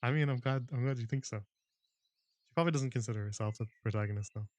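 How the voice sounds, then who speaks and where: A man's voice: medium pitch, fast, darkish tone, no room sound. A man hosting a livestream book club, chatting with viewers and laughing often before reading a novel aloud.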